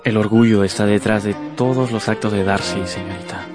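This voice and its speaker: Actor as a courteous, lower-voiced young officer. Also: male voice, fairly even intonation, fairly quick, music in the background, close to an everyday voice. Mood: aggrieved, confiding. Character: charming, smooth young male officer, courteous and aggrieved. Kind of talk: radio drama acting.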